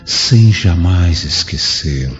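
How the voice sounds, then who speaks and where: A man acting as a benevolent ghost of an old Roman friend, extremely low in pitch and unhurried. He counsels with solemn serenity in audio drama style. The sound is slightly muffled, with soft music behind. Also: lively intonation, full character voice. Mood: serene, solemn. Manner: slow, solemn, counselling delivery.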